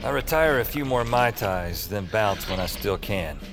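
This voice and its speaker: A man's voice: on the low side, animated, moderate pace, music in the background. An actor playing a world-weary, wisecracking drinker who narrates his own nights in an audio drama, with a sardonic, wry delivery. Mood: exasperated. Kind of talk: first-person audio drama narration and dialogue.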